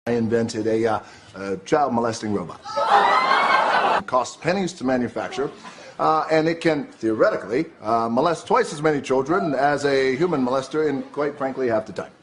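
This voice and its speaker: An actor playing an awkward, deadpan inventor giving a hesitant comedy sketch speech. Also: male voice, medium pitch, normal speed, close to an everyday voice. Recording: very noisy, with loud crowd. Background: loud crowd.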